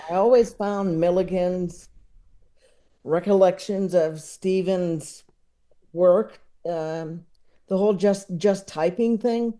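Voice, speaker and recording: A man chatting casually as a podcast guest, talking in a halting, rambling way. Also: sits high, warm tone. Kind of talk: casual interview chat.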